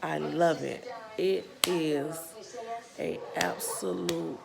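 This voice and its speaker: A woman in her thirties with a Southern US accent, speaking earnestly and haltingly as a parent who runs a nonprofit, her voice a bit worn. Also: low voice, vocal fry, crowd noise. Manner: slow, earnest, halting with pauses and restarts. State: losing her voice. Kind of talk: personal vlog testimony.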